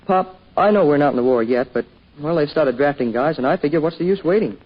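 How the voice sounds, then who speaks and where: An actor playing an eager, earnest young American son in an old-time radio drama, boyish and a little bashful, with a stammering manner. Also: male voice, on the high side, expressive, fast talker, breathy edge. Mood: eager, teasing. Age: young adult. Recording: dull, hissy old radio recording.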